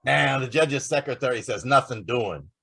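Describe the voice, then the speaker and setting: Guy as determined star reporter, husky voice, lively delivery, wisecracking, old radio serial. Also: mid-range voice, moderate pace, heavily acted. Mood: frustrated. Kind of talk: old time radio drama acting.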